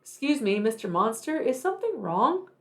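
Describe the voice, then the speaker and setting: A bold, cheeky storybook boy, put on by a woman. The voice is childlike and exclaiming, jumping around a great deal in pitch. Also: very animated, moderate pace. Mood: bold, bluffing. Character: two young brothers, bold and cheeky boys.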